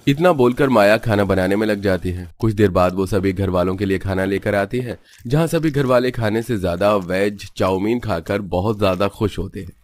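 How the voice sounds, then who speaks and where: A man's voice: fairly low pitch, moderate pace, hardly any pauses. A man narrating a scripted family cartoon story.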